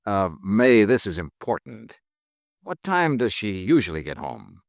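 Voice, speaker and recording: Breezy wisecracking radio detective, lively intonation, dry close sound. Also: male voice, on the low side, unhurried, gravelly, dry recording, full character voice. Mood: easygoing. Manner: easygoing, wisecracking. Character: wry male insurance investigator, easygoing and flirtatious. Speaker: detective lead. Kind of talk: 1950s radio drama acting.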